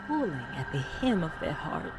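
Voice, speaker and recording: A woman playing a solemn, wistful fairytale storyteller in an audio drama, narrating theatrically in a hushed, breathy voice. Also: low voice, very animated, normal speed, loud music behind the voice. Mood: hushed, ominous.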